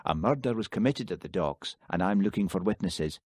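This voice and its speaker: A man reading aloud as a patient, persistent police constable who stays calm. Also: deep voice, fairly quick, dry recording, clean studio sound.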